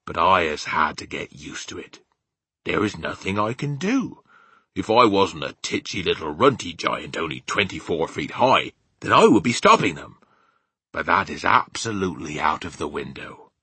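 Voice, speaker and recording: A man voicing a gentle, sorrowful giant who talks in muddled, homely grammar full of invented words. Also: low voice, very animated, moderate pace, breathy edge, no echo, full character voice. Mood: sad, resigned.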